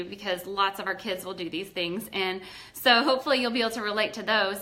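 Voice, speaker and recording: Bright, high-voiced woman host. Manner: chatty, enthusiastic, with fillers. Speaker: interviewer and author. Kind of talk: casual video call interview. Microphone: video call.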